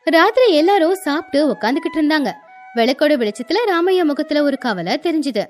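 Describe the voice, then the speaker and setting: High-voiced woman narrating, over music. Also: lively intonation, fast talker. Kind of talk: scripted moral story narration.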